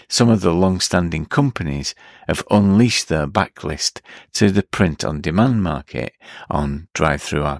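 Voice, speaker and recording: Deep-voiced middle-aged man. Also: no echo, studio quality. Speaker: podcast host. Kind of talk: reflective solo podcast narration.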